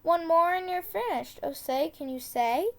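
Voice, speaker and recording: A woman reading a children's tongue-twister book aloud, deliberately, with casual asides and the odd corrected stumble. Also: very high-pitched, animated. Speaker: storybook reader.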